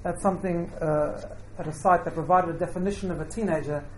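A woman giving an informal welcome speech as an organiser, joking and somewhat halting as she talks. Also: lowish voice, steady tone. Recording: echoey room, faint background noise.

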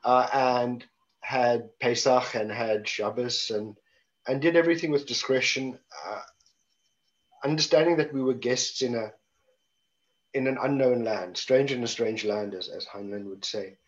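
A man's voice: mid-range voice, unhurried. A middle-aged man with a South African accent, speaking as a guest on an online panel in a wry, conversational way with frequent restarts. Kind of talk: reflective panel talk. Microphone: video call. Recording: dull lo-fi video call audio.

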